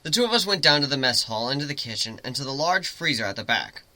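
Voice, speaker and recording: A man giving an expressive, theatrical dramatic reading of a first-person story. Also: medium pitch, fast talker, no room sound. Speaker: amateur story reader, narrator.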